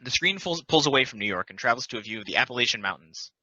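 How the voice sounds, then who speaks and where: Very bright, forceful man reading stage directions. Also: medium pitch, lively intonation, rapid-fire. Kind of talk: scripted narration at a play table read. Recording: dull, lo-fi, dry close sound.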